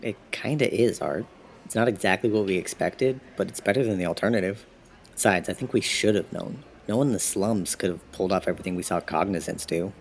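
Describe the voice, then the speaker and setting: An actor playing a calm, reasonable young man in an audio drama, laid-back and dryly deadpan. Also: low voice, brisk, gravelly, slight background noise. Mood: calm, wry.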